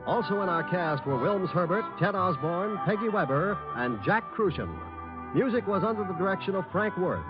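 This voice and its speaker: A male announcer in 1940s radio style reads scripted credits. His pitch is high and very animated. Loud music runs behind him. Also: moderate pace. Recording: dull old radio broadcast, loud music.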